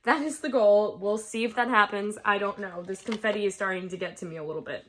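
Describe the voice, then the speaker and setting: A woman's voice: mid-range voice, quick pace, a bit bright, a little hiss or hum. A young woman from the US chatting casually to her viewers about her crafts, stuffed up from a cold and laughing often. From United States. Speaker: crafting vlogger. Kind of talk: casual chat to camera. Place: her bedroom at home.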